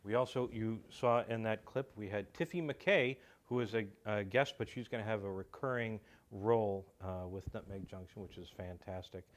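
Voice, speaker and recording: A man with a fairly low voice chats casually, as on a talk show. He is enthusiastic and often halting as he goes.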